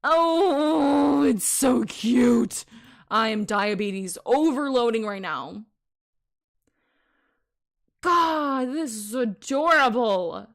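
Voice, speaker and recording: A woman streamer reading a visual novel aloud and commenting on it, excitable and gushing, often giggling. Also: fairly high pitch, extremely slow, vocal fry, long pauses. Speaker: VTuber streamer.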